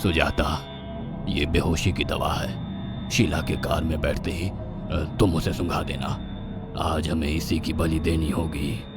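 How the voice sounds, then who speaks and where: A man's voice: extremely low pitch, level tone, slow pace, loud music behind the voice, pitched below the performer's own voice. A man voicing a wealthy, greedy, gullible husband in a horror story, dramatic and credulous. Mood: conspiratorial. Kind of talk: Hindi horror story character acting.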